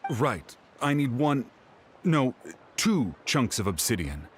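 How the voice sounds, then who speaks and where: A man's voice: medium pitch, big pitch swings, slow pace, a little hiss or hum. A man voicing a weary, matter-of-fact young tinkerer who explains things in a video game. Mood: businesslike. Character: defeated yet invested young male occult tinkerer.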